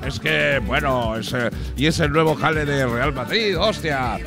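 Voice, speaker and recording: A man's voice: a little high, unhurried, creaky, music in the background. A man playing a boisterous Spaniard from Barcelona, exclaiming, swearing and stumbling for words. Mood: jubilant.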